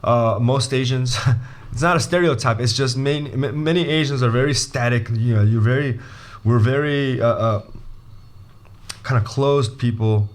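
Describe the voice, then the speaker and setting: Animated man coaching interview skills. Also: mid-range voice, normal speed. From Brazil. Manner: animated, restarts sentences, jokey asides. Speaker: MBA admissions coach. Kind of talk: casual video lesson.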